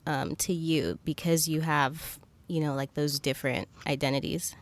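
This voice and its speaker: A woman's voice: sits low, steady tone, normal speed. A young woman from Zambia hosting a casual interview, feeling her way through questions with tentative, like-filled phrasing. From Zambia, moved to the US at ten. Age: young adult. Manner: tentative, searching phrasing with many likes. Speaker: podcast host, interviewer.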